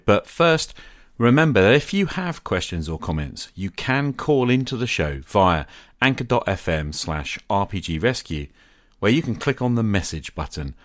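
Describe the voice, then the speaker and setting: A man hosting a podcast in a conversational, earnest and reflective way, with measured phrasing. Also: fairly low pitch, no room sound, clean studio sound. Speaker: podcast host. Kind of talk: conversational podcast talk on tabletop roleplaying.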